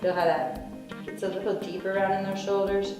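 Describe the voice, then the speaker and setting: A woman's voice: mid-range voice, little pitch movement, a little fast. A woman leading a yoga class, giving stretching cues with chatty, joking asides. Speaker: yoga instructor. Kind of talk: guided yoga class instruction. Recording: echoey room with background music.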